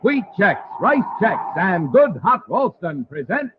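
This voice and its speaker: A man's voice: sits high, big pitch swings, takes it slowly. A man with an American accent announcing and narrating in an emphatic, showy 1950s radio style. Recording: muffled, faintly hissy old radio.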